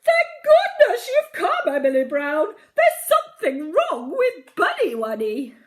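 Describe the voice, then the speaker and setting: Silly, naughty queen for a children's storybook: high, wailing, wildly swooping, melodramatic. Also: huge pitch swings, takes it slowly, echoey room, heavily acted. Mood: gushing distress. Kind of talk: children's storybook read-aloud.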